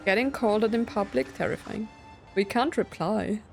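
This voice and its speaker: A woman's voice: medium pitch, moderate pace, vocal fry, a little mellow, faint music behind. A woman hosting a gaming video, giving casual commentary and reactions as she plays through.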